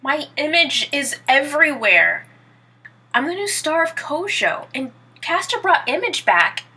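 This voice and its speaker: An actress playing an inventor's anxious, proud young adult daughter in an audio drama. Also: female voice, sits high, very animated, a little slow, pushed and bright, full character voice. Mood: insistent.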